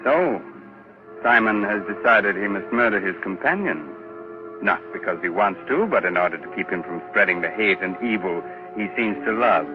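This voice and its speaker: Sardonic man, lowish voice, coaxing theatrical 1940s mystery narration on a dull vintage recording. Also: lively intonation, normal speed, over music. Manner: sardonic, mock-sinister, coaxing. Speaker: radio mystery host. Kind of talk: 1940s radio horror hosting, theatrical narration. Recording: muffled 1940s radio recording.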